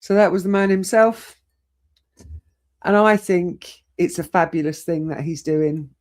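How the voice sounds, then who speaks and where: A woman's voice: lowish voice, a little slow, a little mellow. Persuasive woman host commenting. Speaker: show host. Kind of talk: commentary after a clip.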